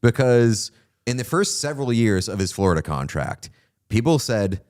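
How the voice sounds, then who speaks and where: A man's voice: medium pitch, normal speed. A man co-hosting a hockey podcast, talking in an opinionated, casual way with lots of fillers. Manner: animated, opinionated, frequent "like" fillers. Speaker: podcast co-host. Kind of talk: casual sports chat.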